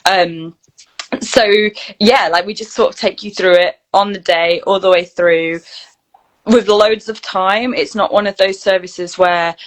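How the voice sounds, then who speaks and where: British woman, interview guest, casual, chatty, explanatory, mid-pitched, conversational. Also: fairly even intonation, unhurried, low-quality recording. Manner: chatty, explanatory, often quotes imagined dialogue. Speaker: guest, voice reel studio founder and actor.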